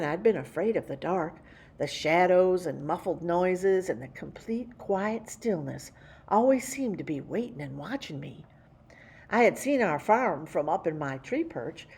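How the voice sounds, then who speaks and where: A woman reading a children's picture book aloud in an animated, folksy storytelling way. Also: on the low side, slightly rough. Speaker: storytime reader.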